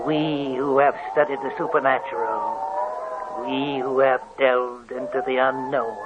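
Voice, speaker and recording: An actor playing a creepy old male hermit who relishes ghost stories, cackling with drawn-out sinister delivery in 1940s radio horror style, heard through a lo-fi old broadcast with loud hiss. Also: mid-range voice, full character voice.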